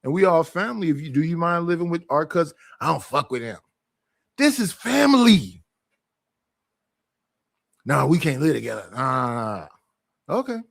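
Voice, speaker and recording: A man with a slightly high voice, recorded dry and close. He hosts a livestream and talks in a loose, blunt, conversational way. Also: sing-song, normal speed, creaky, long pauses, no room sound. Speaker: livestream host. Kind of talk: casual livestream talk.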